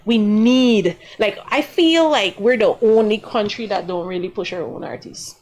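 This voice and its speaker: A woman from Guyana speaks as a guest singer-songwriter in a relaxed interview. She talks at length and with emphasis. Her pitch often jumps upward when she stresses a point. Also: a little slow. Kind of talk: casual interview chat.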